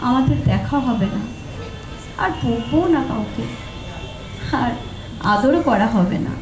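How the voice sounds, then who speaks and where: Sentimental older female teacher, played by a woman, high and slow delivery, audio drama, echoey sound. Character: older female college teacher, fond, sentimental, nearing retirement. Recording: dull echoey recording under clear music.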